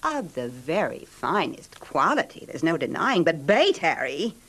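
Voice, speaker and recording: Biting, sardonic wife, wildly expressive. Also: female voice, low voice, measured pace, husky, heavily acted. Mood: bitter. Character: bitter, mocking younger wife of a rich recluse, tipsy. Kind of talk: old time radio drama acting.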